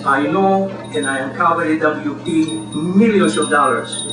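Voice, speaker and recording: A man's voice: fairly high pitch, a little slow, over music. A man with a Greek accent giving an earnest, impassioned campaign speech at a community meeting. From Greece. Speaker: candidate speaking at a community meeting. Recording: distant roomy hall recording with noise.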